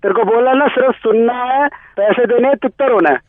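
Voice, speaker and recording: A man's voice: extremely high pitch, a little fast, no echo. A man playing a swaggering, threatening Delhi tough guy on a comic phone call, blustering and slangy. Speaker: radio prank caller. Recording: tinny narrow phone line, dry.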